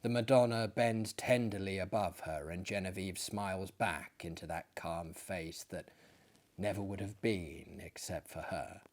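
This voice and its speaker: A man voicing a gentle, anxious artist in a gothic story, speaking to his friend in an earnest, grave, confiding way. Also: low-pitched, a little slow, voice pushed down. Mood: gentle, sorrowful.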